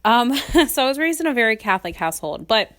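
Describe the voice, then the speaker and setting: A woman's voice: fairly high pitch, big pitch swings, quick pace, fairly bright tone. A young adult woman hosting a casual solo podcast. She has a cold and sniffles, and she rambles with lots of "um"s and frequent little laughs. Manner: chatty and rambling, laughs often, many ums. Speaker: podcast host.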